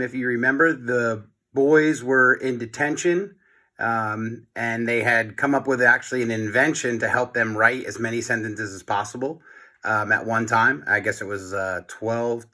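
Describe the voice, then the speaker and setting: A man reading a story aloud to children in an expressive way, speaking directly to his young listeners. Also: mid-range voice. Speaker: children's book reader, teacher.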